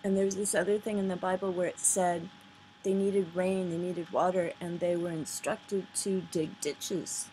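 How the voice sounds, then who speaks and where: A woman's voice: fairly low pitch, fairly even intonation, a little slow, mellow, slight background noise. A woman giving rambling, earnest, off-the-cuff commentary in a casual style, on a poor-quality home recording. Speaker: video commentator. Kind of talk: casual off-the-cuff commentary over played videos.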